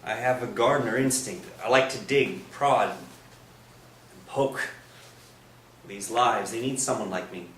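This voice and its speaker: A man's voice: mid-range voice, slow pace, pauses often, fairly bright tone. An actor playing a nosy, lecherous priest in a staged play reading, coaxing and insinuating as he goes. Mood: scheming. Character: nosy, wheedling, lecherous priest hungry for confessions. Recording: roomy, distant stage recording, faint noise.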